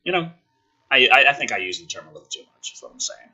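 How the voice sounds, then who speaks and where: A man's voice: medium pitch, big pitch swings, fast talker, pushed and bright, breathy edge, highs cut off. A man hosting a channel, giving a sarcastic, theatrical script reading with exasperated, foul-mouthed commentary. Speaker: host of a gaming and film channel.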